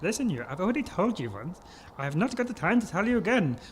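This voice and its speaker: Excitable german baron villain, high raspy voice, swoops all over, comedy radio bad guy. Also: fast talker, heavily acted. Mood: scheming, bossy. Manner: blustering, scolding, theatrical. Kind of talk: comic radio play acting.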